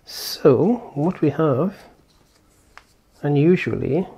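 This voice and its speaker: Man with a mellow voice, thinking aloud. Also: a little high, extremely slow, breathy edge. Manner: thinking aloud, informal, step-by-step explaining. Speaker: tech reviewer and presenter. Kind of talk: casual hands-on product unboxing and setup commentary.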